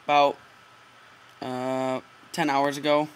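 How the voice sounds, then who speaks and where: A man's voice: mid-range voice, level tone, slow pace. A teenage male making a casual prank call, keeping a straight, earnest delivery, picked up by a laptop mic. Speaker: prank caller. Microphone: laptop mic, close. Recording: noisy laptop microphone recording.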